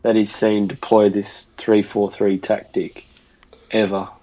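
A man's voice: lowish voice, flat delivery, a little slow, dark tone. A man chatting casually as a panelist on a football podcast, recorded in slightly lo-fi sound. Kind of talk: casual chat. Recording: slightly dull lo-fi audio.

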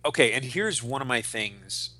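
A man's voice: mid-range voice, lively intonation, normal speed, bright, forceful tone. A man in his forties co-hosting a podcast, chatting casually and with strong opinions, ranting and swearing freely. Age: 40s. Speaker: podcast host.

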